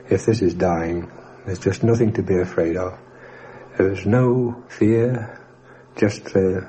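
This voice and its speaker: Middle-aged male, ex-airman. Mellow, level delivery, measured and factual, first-hand documentary testimony. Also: low-pitched, low-quality recording. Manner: measured, matter-of-fact, precise wording. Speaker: former RAF rear gunner. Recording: faint noise on old radio recording.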